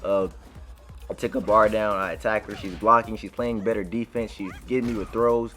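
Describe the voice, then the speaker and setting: A man's voice: fairly low pitch, fairly even intonation, moderate pace, a bit bright. A man giving casual, conversational gameplay commentary full of informal slang. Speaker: gaming video creator. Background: game music.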